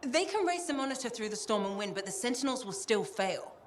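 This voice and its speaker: A woman's voice: a little high, big pitch swings, brisk, a little hiss or hum. An actress playing a determined, frank woman in a video game drama, speaking in a pointed, insistent way. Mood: firm, urgent.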